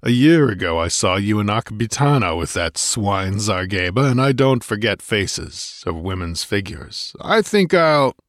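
A man voicing a massive, contemptuous barbarian warrior in an audiobook, barking and rumbling with impatience. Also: lively intonation, moderate pace, dry recording, clean studio sound, close to an everyday voice. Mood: angry triumph. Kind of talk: dramatised audiobook character voice.

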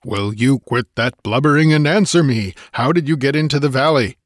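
A man voicing a massive, contemptuous barbarian warrior in an audiobook, barking and rumbling with impatience. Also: expressive, moderate pace, dry recording, clean studio sound, close to an everyday voice. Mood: demanding. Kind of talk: dramatised audiobook character voice.

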